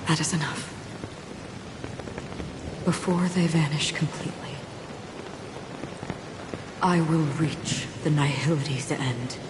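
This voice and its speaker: An actress playing a solemn, enigmatic and melancholy wanderer in a video game, speaking in a grave, understated way. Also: female voice, low-pitched, takes it slowly, long pauses, background noise. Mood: resolute. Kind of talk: restrained video game voice acting.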